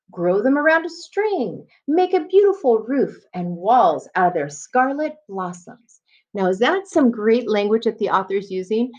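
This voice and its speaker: Woman teacher in dull lo-fi audio. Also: mid-range voice. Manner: explanatory, pauses to question and address listeners. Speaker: elementary school teacher. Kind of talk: read-aloud reading lesson for children.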